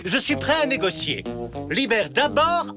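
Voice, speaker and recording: An actor playing a mocking outlaw who bargains in a cartoon standoff. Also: male voice, extremely high pitch, big pitch swings, a little slow, over loud music, full character voice. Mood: mocking, negotiating. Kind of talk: exaggerated cartoon dub acting.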